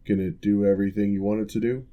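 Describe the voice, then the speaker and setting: A man voicing a calm, formal male orc who is a former general turned physical therapist, speaking in measured, reassuring ASMR roleplay. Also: lowish voice, highs cut off, natural voice.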